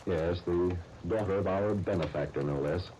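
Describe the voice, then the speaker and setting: An actor playing a brisk, easily exasperated American businessman in a 1930s radio serial. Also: deep voice, dark tone, natural voice. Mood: casual, hurried. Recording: noisy 1930s radio broadcast recording.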